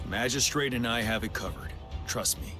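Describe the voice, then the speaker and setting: A man playing a composed, resolute army general in a game cutscene, giving measured, authoritative military briefings. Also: medium pitch, bright, forceful tone, faint music behind, lightly acted. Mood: commanding. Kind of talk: scripted video game cutscene acting.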